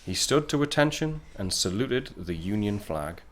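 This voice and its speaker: A man's voice: lowish voice, big pitch swings, moderate pace, a bit bright. A man playing a wistful, reflective narrator of an audio play, who watches those he loves. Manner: reflective storytelling.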